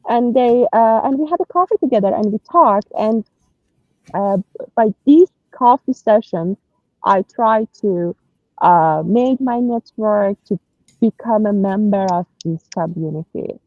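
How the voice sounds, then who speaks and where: A woman's voice: mid-range voice, lively intonation, unhurried, darkish tone, no echo, dull audio. A woman from Iran, speaking English with an Iranian accent, answering interview questions in an earnest, hesitant way with many fillers and restarts. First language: Persian. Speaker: guest. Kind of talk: conversational interview.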